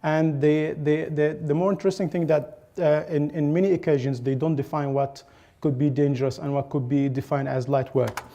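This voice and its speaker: A man from Egypt giving an academic conference presentation, speaking in a halting way with frequent pauses and restarts. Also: fairly high pitch, fairly even intonation, creaky, dark tone.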